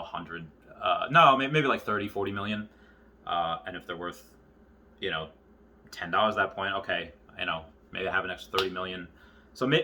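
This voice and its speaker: Bright-voiced low-pitched man, rambling casually. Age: early 30s. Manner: rambling, halting, circles back on thoughts. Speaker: YouTuber. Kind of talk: casual unscripted monologue to viewers.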